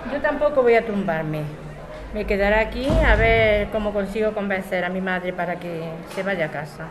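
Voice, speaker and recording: A woman's voice: medium pitch, moderate pace, music in the background, natural voice. A woman playing a practical adult daughter, matter-of-fact and conversational in an audio drama. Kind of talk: scripted amateur radio drama. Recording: chattering crowd behind the voice. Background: crowd chatter.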